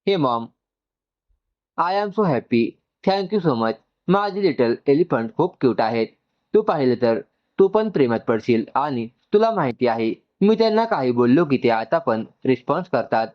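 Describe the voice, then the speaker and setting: A man voicing an excitable, doting young new father in a story, gushing and mixing in English. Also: mid-range voice, measured pace, muffled recording. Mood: excited, gushing.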